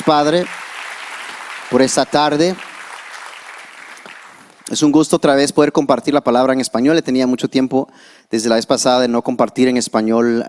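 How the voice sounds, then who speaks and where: English-speaking pastor preaching. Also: male voice, mid-range voice, a little mellow. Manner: preaching with asides and self-correcting restarts. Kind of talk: sermon.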